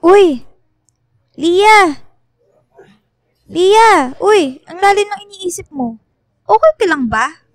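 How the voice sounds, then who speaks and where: A woman playing a concerned, kind-hearted schoolgirl friend in a radio drama, expressive and sympathetic. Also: extremely high pitch, slow, pauses often, no room sound, studio quality, exaggerated voice. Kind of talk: conversational radio drama acting.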